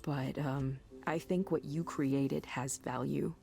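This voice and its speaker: An earnest, idealistic woman with a slightly low voice in a visual novel. She speaks conversationally and with conviction, as if defending work she believes in. Faint music plays behind her. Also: steady tone, slow pace, creaky, mellow, exaggerated voice. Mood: reflective, resolute. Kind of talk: visual novel game voice acting.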